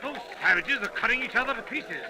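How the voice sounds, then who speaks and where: An agitated army captain speaks in a high, piercing, forceful voice. He sounds horrified, in urgent 1930s radio drama style. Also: expressive, a little fast, a faint crowd, heavily acted, rough recording.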